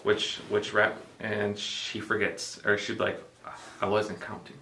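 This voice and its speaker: A man in his twenties from Mexico, co-hosting a casual vlog chat, relaxed and full of fillers. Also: on the low side, measured pace, roomy sound, far from the mic, a little hiss or hum. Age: 20s. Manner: relaxed, filler-heavy, joking. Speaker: vlogger co-host.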